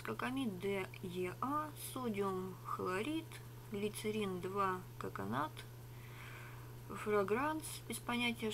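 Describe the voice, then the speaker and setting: A middle-aged woman rambling through a sarcastic product review in a home room, switching between a whisper and a soft speaking voice. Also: mid-range voice, slight background noise, low-quality recording. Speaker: ASMR vlogger. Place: small home room with rug on wall. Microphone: webcam at arm's length.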